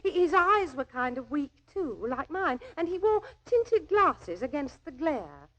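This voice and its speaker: A woman's voice: high voice, big pitch swings, a little slow, highs cut off. An actress playing a naive, earnest, lovelorn young working woman in a 1940s radio drama, chatty and deferential, easily flustered. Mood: wistful, chatty. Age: young adult. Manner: deferential, chatty, flustered, with homely ungrammatical turns of phrase.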